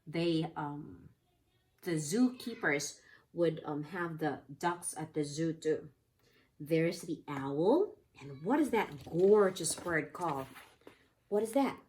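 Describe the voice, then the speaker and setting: Slow-paced woman teaching young children. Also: deep voice. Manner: simple explanations with frequent questions to the children. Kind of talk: interactive picture-book read-aloud lesson for young children.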